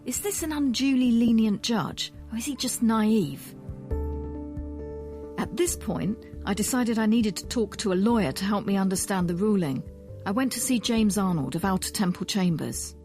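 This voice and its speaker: A woman presents a documentary in a measured, questioning and explanatory way. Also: mid-range voice, lively intonation, darkish tone, faint music behind. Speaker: presenter, history professor. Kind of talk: documentary narration and interview.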